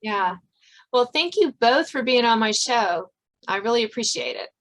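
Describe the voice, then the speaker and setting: A woman's voice: on the high side, lively intonation, normal speed, a bit bright. A woman hosting a podcast, talking with guests in a conversational interview style. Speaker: podcast host.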